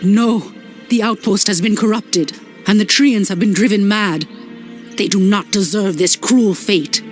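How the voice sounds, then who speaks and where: Casting: actrice als strijdbare priesteres, trots en minachtend. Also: female voice, medium pitch, measured pace, lightly acted. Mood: proud. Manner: proud, scornful. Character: proud female elf priestess, fierce and scornful. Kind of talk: game cutscene acting. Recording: voice over loud music.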